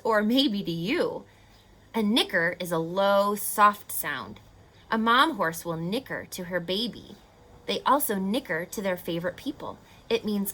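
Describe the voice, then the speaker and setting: A woman's voice: medium pitch, a bit bright, husky, low-quality recording. A woman hosting a children's read-aloud, speaking expressively and putting questions to young listeners as she teaches. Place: on location at a horse facility.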